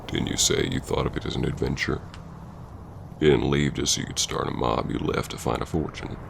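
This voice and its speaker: A man playing a stern, hurt Old West bounty hunter confronting an old flame in an ASMR roleplay, brooding and halting yet tender. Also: fairly even intonation, noisy background, exaggerated voice. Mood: wounded, pressing. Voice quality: very deep, gravelly drawling voice. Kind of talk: ASMR roleplay acting, spoken to the listener.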